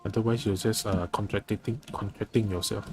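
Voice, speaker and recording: Low-voiced man chatting while gaming. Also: fairly even intonation, fast talker, darkish tone, faint music behind. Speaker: game streamer. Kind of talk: casual live gameplay commentary chatting with viewers.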